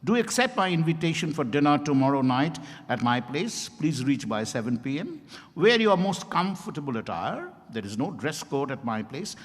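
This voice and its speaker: An actor playing an insistent, smoothly persuasive male doctor and counsel in a formal, theatrical stage reading. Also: fairly high pitch, very animated, moderate pace. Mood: formally courteous. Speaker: stage reader in a dramatized reading. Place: event hall at a hotel, live stage reading. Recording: echoey hall with long reverb.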